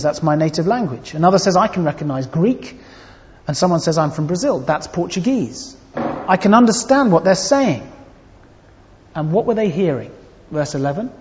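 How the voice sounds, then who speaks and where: Guy preaching, fairly high voice, big echoey hall, kinda muffled sound. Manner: earnest, expressive preaching with varied emphasis. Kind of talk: sermon. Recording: dull sound with long hall reverb.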